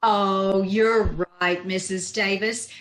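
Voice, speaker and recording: A woman playing a wry, scheming schoolteacher with dry deadpan quips in an old-time radio comedy. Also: medium pitch, takes it slowly, lightly acted. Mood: mock innocent. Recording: roomy, echoing video-call recording.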